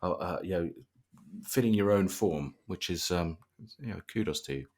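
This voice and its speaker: A man with a very low voice hosts a relaxed podcast. He talks in a bantering, conversational way, with dry humour often aimed at himself. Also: normal speed. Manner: dry, jokey, self-deprecating. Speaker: podcast host. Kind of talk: casual bantering podcast interview.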